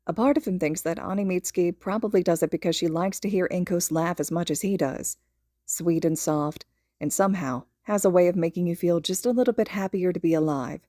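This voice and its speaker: A woman's voice: on the low side, dark tone, no room sound, studio quality. A woman reading scripted fiction aloud as a podfic narrator. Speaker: podfic reader and host.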